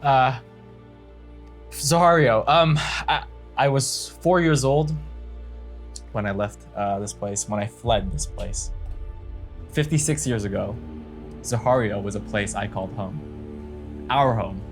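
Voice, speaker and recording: A man playing a tabletop game, improvising in-character speeches in a halting, theatrical way. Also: medium pitch, faint music behind.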